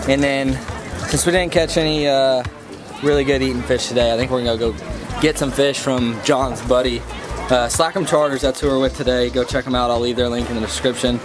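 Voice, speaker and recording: A young man talks easily into an action camera held at arm's length, shifting from a boat on open water to a home kitchen. His pitch sits squarely in the middle, and music plays behind his voice. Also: steady tone, brisk, crowd noise. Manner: laid-back, plugs links and merch. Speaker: vlogger host. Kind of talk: casual vlog narration.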